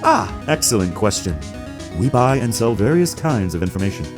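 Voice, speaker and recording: A man's voice: on the low side, big pitch swings, moderate pace, over music. A man voicing a smug, flamboyantly arrogant company president in a theatrical video game fan dub, with a pompous, grandiose way of talking. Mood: smug, taunting. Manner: pompous, grandiose, mangles big invented words.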